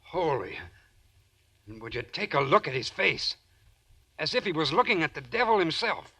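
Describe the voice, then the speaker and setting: An actor playing an alarmed, exclaiming courtroom bystander in a 1940s radio drama. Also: male voice, a little high, big pitch swings, normal speed, pushed and bright, heavily acted. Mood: alarmed, awestruck. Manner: urgent, exclaiming. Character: excited male courtroom bystander, alarmed and awestruck. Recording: old radio recording, crowd murmur.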